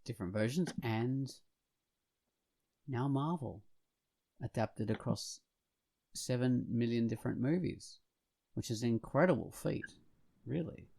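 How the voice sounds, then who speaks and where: A man's voice: medium pitch, unhurried, gravelly, pauses often, mellow. A man co-hosting a podcast in a casual, conversational discussion. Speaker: podcast co-host.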